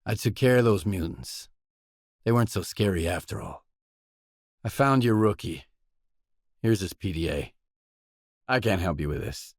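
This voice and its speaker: A man's voice: sits low, animated, normal speed, pauses often, no room sound, studio quality. An actor playing a pragmatic male mercenary stalker in a video game, speaking in restrained, matter-of-fact, clipped lines. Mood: businesslike, matter-of-fact. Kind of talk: video game character dialogue.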